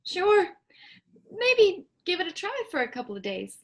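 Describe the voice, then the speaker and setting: A woman reading a patient, gently teasing grown daughter in a staged play reading. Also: extremely high pitch, big pitch swings, unhurried, exaggerated voice. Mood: gentle. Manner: soft, easygoing, gently teasing.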